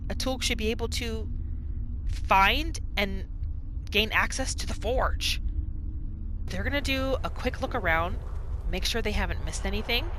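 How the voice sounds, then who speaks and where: A woman hosting a gaming channel, giving expressive roleplay commentary and reacting aloud as she plays. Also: mid-range voice, background noise. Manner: expressive, reacts aloud, talks to the game characters. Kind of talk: video game roleplay commentary.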